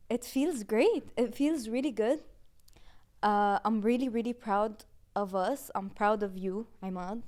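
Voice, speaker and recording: A woman in her twenties, a podcast host, talking casually and warmly about finishing a season, with frequent "like"s. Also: medium pitch. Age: 20s. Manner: casual, chatty with many "like"s and laughs. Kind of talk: heartfelt season-finale reflection.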